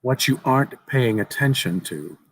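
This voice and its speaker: A man voicing a precocious, solemn little boy who explains things slowly and deliberately in a dramatic horror story reading. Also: mid-range voice, animated, a little hiss or hum, lightly acted. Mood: eerie, calm.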